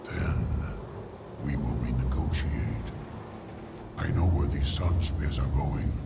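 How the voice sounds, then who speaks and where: A man voicing a menacing, imperious demon who holds mortals in contempt, in a gravelly, breathy growl for a video game. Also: very deep voice, full character voice. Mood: cold defiance. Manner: slow, imperious. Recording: heavy noise behind the voice.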